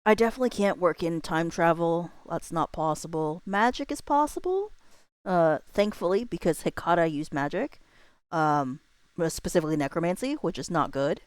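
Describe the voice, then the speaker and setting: A woman's voice: on the low side, lively intonation, normal speed, dry recording. A woman livestreamer chatting casually with her viewers, thinking aloud in a laid-back, slangy, informal way. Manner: informal, laid-back, slangy, muttering to self while working. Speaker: livestreamer hosting a chatbot roleplay stream.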